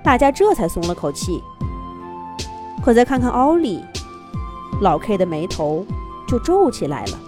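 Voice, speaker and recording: A woman's voice: mid-range voice, big pitch swings, measured pace, pauses often, music in the background. A woman narrating a children's fairy tale expressively from a script, as a storyteller.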